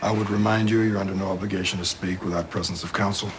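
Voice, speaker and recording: An actor playing a dry, methodical police detective in an audio drama, with a measured, formal, matter-of-fact delivery. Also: male voice, low voice, fairly even intonation, background noise, lightly acted. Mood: measured, probing.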